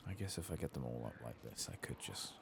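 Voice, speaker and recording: Very deep, soft-spoken British man. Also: big pitch swings, quick pace, gravelly, background noise. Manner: soft-spoken, murmuring, sometimes whispering. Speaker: host of gaming playthrough. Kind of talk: soft-spoken ASMR game commentary.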